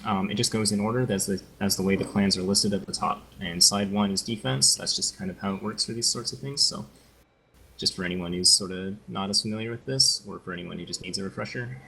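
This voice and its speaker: A man's voice: lowish voice, brisk, gravelly, bright, forceful tone, a little hiss or hum, low-quality recording. A man from Canada co-casting a game match, explaining in an easygoing way with many fillers. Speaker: co-caster. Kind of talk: casual game commentary.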